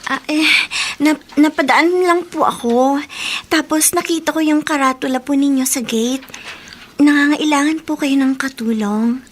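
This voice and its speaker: A woman's voice: sits high, a little slow, a little hiss or hum. An actress with a Filipino accent playing a timid, polite sixteen-year-old country girl in a radio drama, speaking hesitantly. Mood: polite, pleading.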